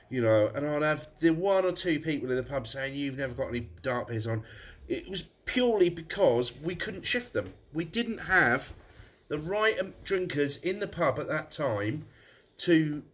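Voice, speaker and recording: A man, a pub landlord chatting casually on a live stream while tasting beer, his nose blocked up. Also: on the high side. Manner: chatty, rambling, frequent restarts. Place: room above his pub.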